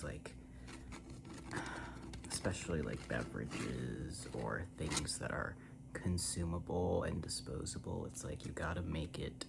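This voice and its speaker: An American ASMR creator rambling softly and casually in a breathy half-whisper, with a self-deprecating manner, close to the microphone. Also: very deep voice, heavy background noise. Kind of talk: soft-spoken ASMR rambling. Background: tapping and scratching on cans.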